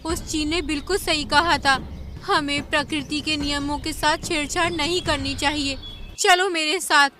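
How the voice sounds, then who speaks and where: Woman voicing a high, bright little cartoon bird. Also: steady tone, moderate pace. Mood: remorseful. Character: small, earnest female bird or creature of a fable.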